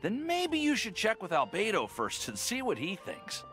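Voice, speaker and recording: Bright, chatty young alchemist. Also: male voice, sits high, huge pitch swings, normal speed, faint music behind, full character voice. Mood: chatty, friendly. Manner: chatty, sheepish, eager. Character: friendly, nerdy young male alchemist and card-game enthusiast. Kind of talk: video game voice acting.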